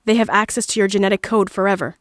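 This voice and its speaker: A woman's voice: medium pitch, steady tone, fast, dry recording, studio quality, lightly acted. A woman playing an irreverent, laid-back human prisoner in a comic sci-fi audio drama, casual and sardonic. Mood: sardonic, playful.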